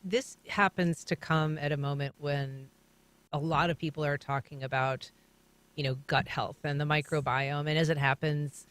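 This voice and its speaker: Higher-voiced male radio host, dry studio. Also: fairly bright tone, no echo. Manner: friendly conversational questioning. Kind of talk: public radio interview. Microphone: studio microphone.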